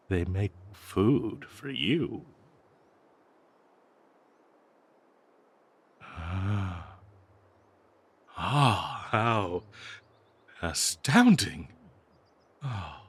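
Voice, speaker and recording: A man voicing a bloodthirsty, doting cursed dagger in an audio roleplay, with theatrical, halting, gushing talk full of endearments. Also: deep voice, breathy edge, full character voice. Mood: fawning, hesitant, then awed, remorseful, ecstatic. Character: obsessive cursed talking dagger, bloodthirsty, doting, giddy, fawning.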